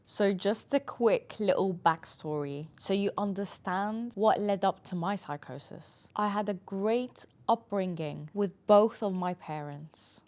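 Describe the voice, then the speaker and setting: A woman's voice: mid-range voice, unhurried, hardly any pauses, a little mellow. A woman in her twenties, a mental health professional whose first language is not English, telling her own story in an earnest monologue and leaning hard on key words. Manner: earnest, emphatic, dwelling on words.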